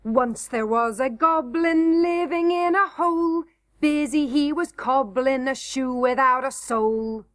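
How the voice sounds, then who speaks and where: A woman voicing a bold young male miner in a storybook reading, chanting a loud, taunting singsong. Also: sits high, measured pace, no echo, studio quality, pitched above the performer's own voice.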